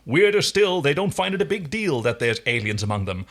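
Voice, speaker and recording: A man's voice: fairly high pitch, sing-song, fairly quick, a bit bright. A man co-hosting a podcast in casual, chatty, emphatic conversation. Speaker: podcast co-host. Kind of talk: casual chat.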